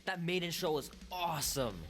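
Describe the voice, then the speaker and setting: A man's voice: fairly high pitch, moderate pace, over music, close to an everyday voice. A man playing a nerdy, easygoing thirteen-year-old boy in a video game, talking casually and naturally. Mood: musing.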